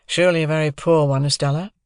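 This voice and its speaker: A woman voicing an earnest, wretched young gentleman in love in an audiobook, pleading and insistent. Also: sits low, fairly even intonation, quick pace, clean tone, no room sound, studio quality, natural voice. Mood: concerned.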